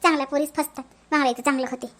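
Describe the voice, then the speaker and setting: Woman doing nosy cartoon village aunty, really high voice, varhadi marathi accent, blunt gossip. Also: steady tone, rapid-fire, a bit bright. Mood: gossipy, pitying. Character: gossipy village auntie at the well, blunt busybody. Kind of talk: animated family comedy dialogue.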